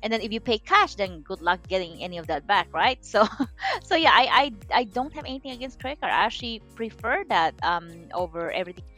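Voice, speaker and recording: Lady coach chatting about money, lots of restarts, medium pitch, faint music underneath. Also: a little fast. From Philippines. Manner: animated, chatty, frequent restarts and "you know". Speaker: financial coach, guest. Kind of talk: casual interview chat.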